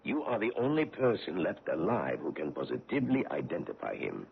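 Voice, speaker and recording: An actor playing a courteous, probing foreign investigator on the trail of a war criminal, in a 1970s radio drama. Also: male voice, deep voice, wildly swooping pitch, brisk, vocal fry, husky, full character voice. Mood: suave, probing. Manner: courteous, probing, gently menacing. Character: suave middle-aged foreign male war-crimes investigator. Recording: tinny old radio broadcast.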